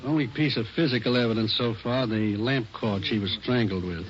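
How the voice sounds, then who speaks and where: An actor playing a methodical, unhurried police crime lab technician, muttering terse observations in 1940s radio drama style. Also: male voice, medium pitch, natural voice. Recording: muffled, noisy 1940s radio recording.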